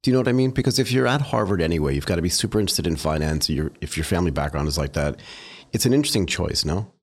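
A man's voice: sits low, animated, racing, breathy edge. A man hosting a podcast, chatty and enthusiastic as he interviews a guest. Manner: enthusiastic, chatty, laughs easily. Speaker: podcast host. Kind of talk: casual interview chat.

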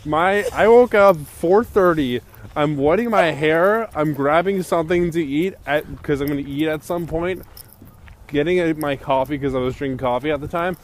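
A man's voice: a little high, normal speed, slight background noise. A young man co-hosting a podcast, telling stories in casual chat, acting out quotes and laughing often. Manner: animated storytelling, laughing, acts out quotes. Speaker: podcast co-host.

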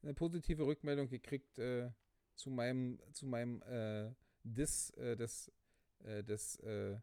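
A man's voice: mid-range voice, steady tone, a little slow, dark tone, clean tone, no echo. A man with a lilt co-hosting a laid-back podcast chat. He rambles, stumbles over his words and fills gaps with ums, working himself up as he goes. The sound is close and dry, with no room around it. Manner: rambling, many fillers and restarts, gets worked up. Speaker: podcast co-host. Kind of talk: casual chat.

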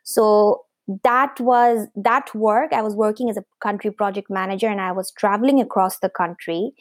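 A woman's voice: mid-range voice, steady tone, moderate pace, no room sound. Hesitant woman from Bangladesh, dry sound. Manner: hesitant, many fillers and restarts. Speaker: guest, fashion startup founder. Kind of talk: interview answers.

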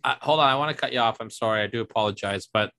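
A man's voice: medium pitch, racing, gravelly, sharp tone. A man hosting a casual podcast in an upbeat, jokey way, steering the talk and introducing clips. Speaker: podcast host.

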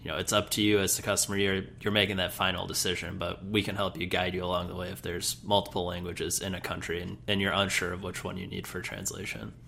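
Man, very deep and gravelly, flat delivery, bright edge, translation-services guest. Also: fast talker, few pauses, a little hiss or hum. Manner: steady, relaxed explaining with casual phrases. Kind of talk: conversational business webinar.

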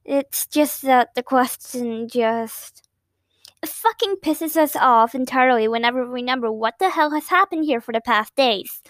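A fiery young woman, tearful and indignant, pushing hard on every complaint, voiced by a woman reading a story. The voice is high and sits dry and close to the mic. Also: normal speed, no echo, natural voice. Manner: indignant, emotional.

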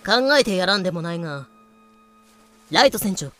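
Man acting a cocky, forceful pirate captain. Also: sits high, animated, normal speed, no echo. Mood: haughty. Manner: haughty, flippant. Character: cocky, greedy, breezily optimistic male pirate captain.

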